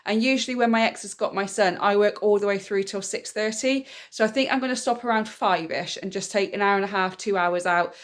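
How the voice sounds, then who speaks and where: A woman's voice: medium pitch, fairly quick, few pauses. A woman, chatting casually in a weekly vlog, a bit nasal and bunged up from a cold. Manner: chatty, rambling, self-explaining. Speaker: vlogger, single mum.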